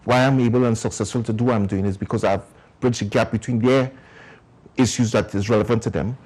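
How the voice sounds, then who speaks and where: A man with a Trinidadian accent speaks in a low, husky, mellow voice. He explains his community work earnestly and at length, as a guest in a television interview. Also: steady tone, fast. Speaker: community organization founder.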